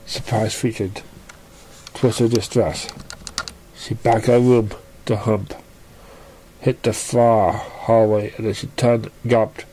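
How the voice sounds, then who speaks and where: Man haltingly reading a story aloud. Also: on the low side, flat delivery, mellow, slight background noise, low-quality recording. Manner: halting, stumbles over words and rereads. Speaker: amateur story reader.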